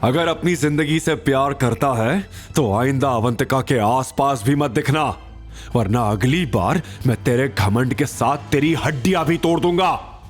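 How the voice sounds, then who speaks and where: A man's voice: mid-range voice, a little slow, faint music behind, voice pushed up, natural voice. A man voicing a fierce, protective young hero with a cocky, threatening manner in an audio drama. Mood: menacing.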